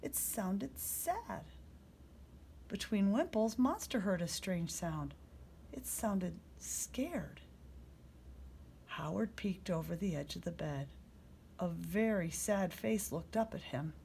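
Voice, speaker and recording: A woman reading a children's story aloud as a storyteller, in expressive narration. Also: mid-range voice, takes it slowly, vocal fry, pauses often, slight background noise.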